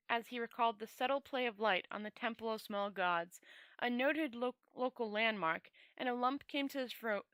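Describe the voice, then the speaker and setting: A woman reads a fantasy novel aloud in a bright, fairly high voice. She is an expressive storyteller who often trips on words and goes back over phrases, recorded close and dry. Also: no room sound.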